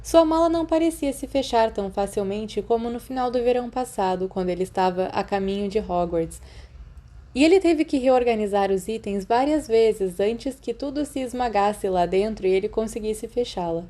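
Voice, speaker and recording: A woman's voice: a little high, moderate pace, warm tone. A woman with a Brazilian accent reading a scripted story as an audiobook narrator. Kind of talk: scripted fan fiction narration.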